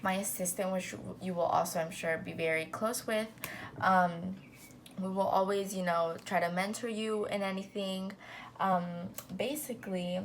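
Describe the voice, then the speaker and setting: A woman's voice: medium pitch, fairly even intonation, moderate pace, slight background noise. A young woman talking casually as a business owner to a new intern, upbeat and full of fillers. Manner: chatty, upbeat, full of ums and "you know".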